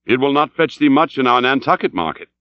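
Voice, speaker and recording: A man's voice: mid-range voice, very animated, a little fast, no room sound, dull audio, close to an everyday voice. A man voicing a sober, sceptical first mate of a whaling ship in an audiobook, earnest, questioning and restrained. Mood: sober, doubting.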